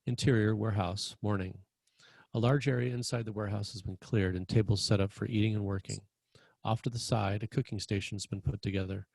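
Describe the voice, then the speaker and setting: A man's voice: lowish voice, steady tone, a little fast, vocal fry, darkish tone, no echo. A man narrating screenplay stage directions in a scripted read.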